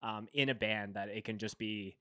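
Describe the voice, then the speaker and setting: Podcast host guy, rambly, restarts a lot, smooth voice, dry close sound. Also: lowish voice, steady tone, moderate pace, bright, forceful tone, no room sound. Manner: rambling, many restarts and fillers. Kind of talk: casual chat.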